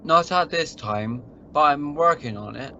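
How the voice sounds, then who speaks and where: A man playing a cynical, unemotional genius scientist astronaut in an amateur radio play, pedantic and deadpan. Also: on the high side, a little slow, exaggerated voice. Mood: detached. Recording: muffled home-made recording, faint noise.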